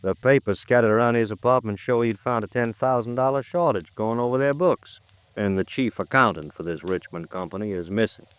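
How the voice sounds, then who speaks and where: An actor playing an overworked, laconic American police lieutenant with colloquial, clipped talk, in 1950s radio drama style. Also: male voice, fairly low pitch, brisk, clear, resonant tone, dry recording, natural voice. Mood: dry, matter-of-fact.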